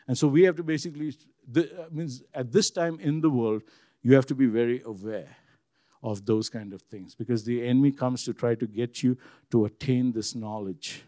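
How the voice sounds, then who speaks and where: A man with a deep, bassy voice preaching an informal sermon to a room, talking conversationally, repeating himself and asking his listeners questions. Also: warm tone, no room sound, studio quality. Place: church room with congregation.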